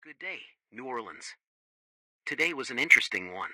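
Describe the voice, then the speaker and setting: A man's voice: medium pitch, sing-song, normal speed, sharp tone, no room sound, exaggerated voice. A man playing a direct, opinionated and suspicious local news anchor, delivering a scripted broadcast with commentary. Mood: suspicious, matter-of-fact.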